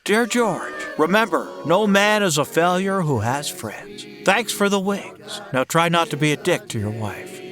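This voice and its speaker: An excitable, high-pitched family man. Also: measured pace, hardly any pauses, faint music behind. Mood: tender, bemused. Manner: exclamatory, stammering. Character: overjoyed, excitable small-town family man and father. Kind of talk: old-time radio play acting, comedic.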